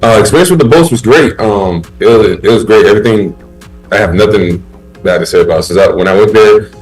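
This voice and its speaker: A man's voice: lowish voice, fast, soft background music, poor audio. A man in his thirties, born in Haiti and raised in the US, chatting casually as a basketball player guest in an easygoing, rambling way with many fillers. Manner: relaxed, rambling, laid-back with many fillers. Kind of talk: casual interview chat.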